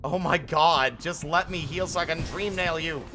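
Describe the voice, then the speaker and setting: A man's voice: on the high side, moderate pace, music in the background. A man giving live gameplay commentary as a streamer, sweary and given to exasperated exclamations. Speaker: game streamer. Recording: background noise under the voice.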